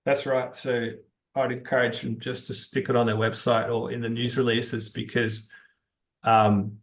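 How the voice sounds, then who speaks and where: Male interview guest, creaky mid-pitched voice, explains things off the cuff in a halting, exploratory way. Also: little pitch movement. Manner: halting, thinking aloud. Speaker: interview guest, geology-minded stock investor. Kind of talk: unscripted explanatory interview talk.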